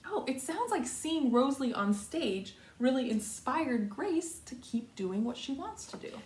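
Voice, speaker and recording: An American woman, a kindergarten teacher, giving a read-aloud lesson to young children in simple, deliberate phrases with repetition. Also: sits high, sing-song, a little mellow. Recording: roomy echo, distant mic, faint noise.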